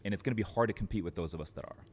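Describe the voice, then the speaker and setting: Low deep guy voice, podcast guest giving biz tips casually, bit of rumbly noise behind. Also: racing, darkish tone. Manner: informal, frequent fillers like "like" and "literally". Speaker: podcast guest, real estate entrepreneur. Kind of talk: conversational business advice.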